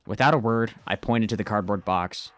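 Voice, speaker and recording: An earnest, anxious schoolboy voiced by a man with a fairly low voice tells his own story. It is amateur visual novel narration, recorded dry over soft music. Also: normal speed, no echo, lightly acted. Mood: tense narration. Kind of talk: scripted first-person visual novel narration, amateur fan dub.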